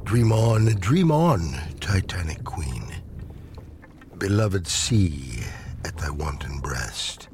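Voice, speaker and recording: A man giving a solemn, measured dramatic reading of poetry, often dropping into hushed, breathy phrase endings, as a poetry reader. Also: sits low, sing-song, background noise. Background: ambient sound design.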